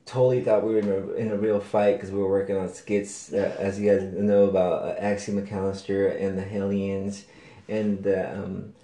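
A man's voice: low-pitched, little pitch movement, moderate pace, warm tone. A man co-hosting a podcast in a casual, laid-back, slangy way, speaking English as a second language. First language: not English. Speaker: podcast co-host. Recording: echoey room with noticeable noise.

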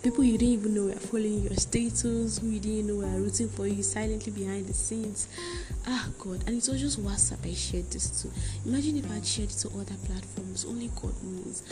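A young woman hosting her own podcast late at night, talking softly and often whispering, earnest and grateful as she chats casually. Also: medium pitch, fairly even intonation, fairly quick, music in the background. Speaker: podcast host. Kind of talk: casual solo podcast chat.

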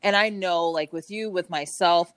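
Bubbly woman podcast host, lower voice. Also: moderate pace. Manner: bubbly, frequent laughter, warm affectionate address. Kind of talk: casual podcast interview.

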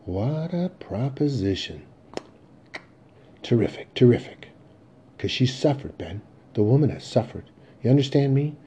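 A man's voice: mid-range voice, expressive, a little slow, pauses often, dark tone, slight background noise, pitched above the performer's own voice, lightly acted. A man voicing a desperate, rambling aging salesman who pleads insistently and repeats himself. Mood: urgent, pleading.

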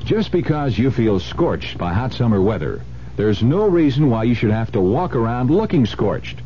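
A man's voice: mid-range voice, big pitch swings, normal speed. An American man working as a spokesman, reading a scripted radio advertisement. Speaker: commercial spokesman. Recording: dull, noisy old radio recording with hum.

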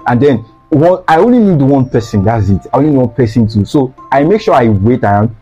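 Young Nigerian man, earnest interview guest, dark mellow tone, slightly lo-fi, faint music behind. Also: mid-range voice, lively intonation, brisk, breathy edge. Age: young adult. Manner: earnest, repeats phrases for emphasis. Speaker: guest, student sweet seller. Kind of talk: casual interview talk. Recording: slightly dull lo-fi sound.

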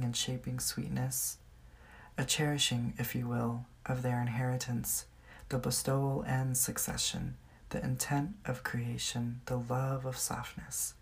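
Very deep, creaky American woman reading poetry. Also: darkish tone. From California, United States. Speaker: poet.

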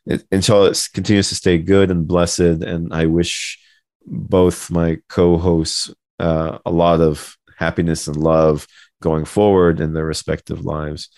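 A man's voice: deep voice, little pitch movement, a little slow, a little mellow, dry recording, studio quality. A man in his thirties co-hosting a casual podcast, speaking informally and effusively to friends while sick, his voice not at its best. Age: 30s. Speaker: podcast co-host. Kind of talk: casual chat.

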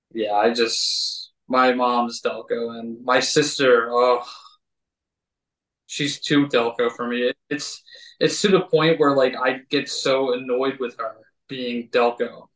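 A man, hosting a relaxed, chatty interview and trailing off mid-sentence. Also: mid-range voice, a little slow. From Delaware County, Pennsylvania. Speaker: podcast host. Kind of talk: casual interview chat. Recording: roomy, from a distance.

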